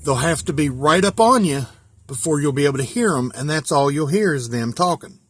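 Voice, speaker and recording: Higher-pitched American man, folksy and plain-spoken. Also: lively intonation, fairly quick, fairly bright tone. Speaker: hobbyist presenter. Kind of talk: casual hands-on gear walkthrough.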